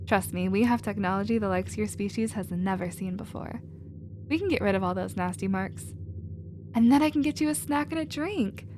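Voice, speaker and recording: A woman playing a protective, nurturing female alien with a casual, expressive manner in a sci-fi ASMR roleplay. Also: medium pitch, brisk, a little hiss or hum, lightly acted. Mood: tender, bubbly.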